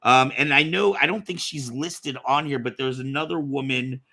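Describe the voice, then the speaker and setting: A man's voice: medium pitch, normal speed, sharp tone. An American man hosting a talk show in a casual, conversational style. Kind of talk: casual podcast chat.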